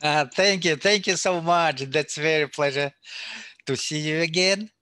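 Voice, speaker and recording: Slow careful guy interviewing, turkish native speaker, halting english, slightly high voice, dry sound. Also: bright, forceful tone, no room sound. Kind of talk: casual video interview.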